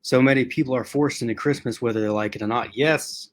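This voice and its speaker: A man hosting a casual livestream, chatting with commenters in a rambling, excited way, with a strained voice he says he is losing. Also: mid-range voice, a little fast. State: losing his voice, clearing throat. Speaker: host.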